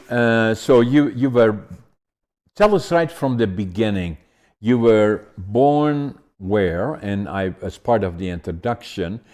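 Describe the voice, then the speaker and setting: A man's voice: lowish voice, a little mellow. A man hosting an interview podcast, chatting casually and often restarting his questions as he goes. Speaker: podcast host.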